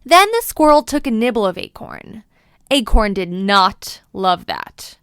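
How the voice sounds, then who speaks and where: A woman's voice: medium pitch, sing-song, unhurried, fairly bright tone, clear, resonant tone, dry recording, studio quality. A woman narrating a children's storybook expressively, with playful, dramatic emphasis. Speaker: children's book read-aloud presenter.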